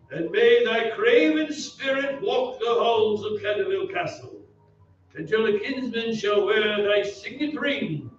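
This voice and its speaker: Proud declamatory lord for radio drama, male actor, deliberate delivery, distant and echoey stage sound. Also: high voice. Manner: declamatory, haughty. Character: proud, stern English lord, a grown man's father, defending family honor. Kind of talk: old-time radio acting. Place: theatre stage. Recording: echoey stage, distant mic, dull, faint noise.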